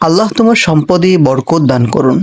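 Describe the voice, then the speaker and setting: Man acting a solemn high-voiced royal guard. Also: expressive, brisk, a little hiss or hum, lightly acted. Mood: blessing. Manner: solemn, formal. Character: formal disguised royal guard testing and blessing people.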